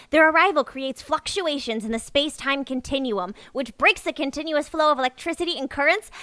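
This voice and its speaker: A woman's voice: on the high side, pushed and bright, clean tone, no room sound. A woman acting in an audio drama as an earnest, insistent, easily offended female mole worker. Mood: earnest, conspiratorial. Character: earnest, gullible, indignant young female moleperson worker.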